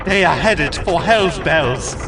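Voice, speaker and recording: A man's voice: on the high side, lively intonation, takes it slowly, background noise, voice pushed up. A man voicing a goblin sidekick for an audio storybook, announcing menace with glee. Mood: menacing glee.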